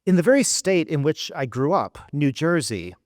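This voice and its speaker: American guy mid-career giving a big speech, really animated pitch, earnest, dry close sound. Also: no room sound. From United States. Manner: earnest, emphatic delivery with measured pauses. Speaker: keynote speaker. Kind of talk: formal speech at a gala. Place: event hall.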